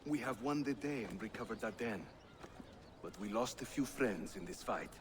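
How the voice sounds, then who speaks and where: An actor playing an affable, teasing Turkish assassin fighter with a Turkish accent in a video game. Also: male voice, medium pitch, expressive, normal speed, noisy background, natural voice. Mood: earnest, friendly. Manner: jovial, playful. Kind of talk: dramatic game acting.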